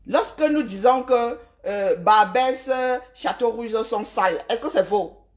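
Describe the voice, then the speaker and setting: A woman's voice: fairly high pitch, measured pace. A woman from Cameroon, hosting a live stream and lecturing her viewers emphatically, with rhetorical questions and tag words like "hein". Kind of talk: opinionated live-stream monologue.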